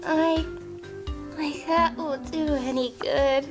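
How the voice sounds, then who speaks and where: A woman's voice: high voice, steady tone, takes it slowly, over music. A woman voicing a gleefully malicious female animal criminal who taunts and drawls in an audio drama. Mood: gleeful, mocking.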